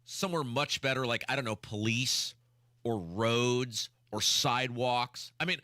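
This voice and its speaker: A man's voice: mid-range voice, a little slow, sharp tone, no room sound, studio quality. A man hosting talk radio, giving opinionated commentary in an exasperated, emphatic way with rhetorical questions. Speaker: radio talk show host.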